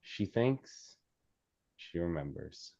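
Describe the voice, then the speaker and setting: A man reading screenplay stage directions at a table read, halting and stumbling over words as he goes. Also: sits low, very animated, gravelly, dark tone. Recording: slightly dull, lo-fi sound.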